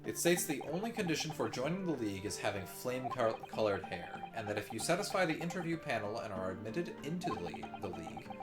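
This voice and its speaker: A woman's voice: rumbling low, sing-song, loud music behind the voice. A woman playing a stern, formal questioner in a comic court scene. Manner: formal, measured, official.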